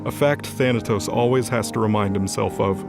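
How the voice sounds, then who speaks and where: A man reads scripted fantasy narration for an audio drama. His voice is on the low side, and music plays clearly underneath as he tells the story. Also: fairly even intonation, normal speed. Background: music bed.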